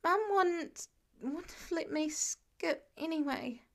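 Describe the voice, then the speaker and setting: A woman's voice: very high voice, slow pace, voice pushed up, exaggerated voice. A woman voicing a groggy, earnest fifteen-year-old boy in an audiobook, speaking hesitantly and a bit slurred. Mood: excited.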